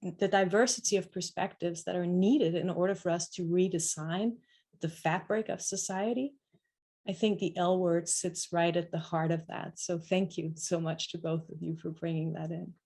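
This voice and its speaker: A woman speaks as a panelist in an online discussion, her voice sitting a little low. She talks reflectively and often circles back to restart a thought as she works it out. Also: steady tone, normal speed, dark tone. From Denmark. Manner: reflective, searching for words with many restarts.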